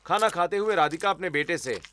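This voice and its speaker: A man's voice: fairly high pitch, lively intonation, fairly quick, sharp tone. A man narrating a scripted moral story as the storyteller.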